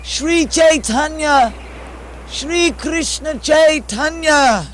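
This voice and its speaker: Very high-voiced worried devotee, acted by a man. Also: extremely slow, fairly bright tone, a little hiss or hum, heavily acted. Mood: searching, worried. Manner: calling out, urgent. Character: earnest, worried male devotee leading a search. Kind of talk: puppet show acting.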